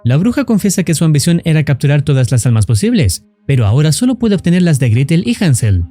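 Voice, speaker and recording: A man's voice: fairly high pitch, big pitch swings, quick pace, dark tone, faint music behind. A man reading a scripted narration as the narrator of a horror story recap.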